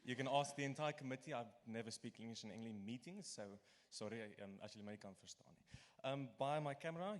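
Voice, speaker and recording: Afrikaans-accented young man in a hall. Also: medium pitch. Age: young adult. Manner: chatty, self-deprecating, upbeat. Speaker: student committee marketing lead. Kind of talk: casual address to a lecture hall. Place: large lecture hall. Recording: reverberant hall with faint background noise.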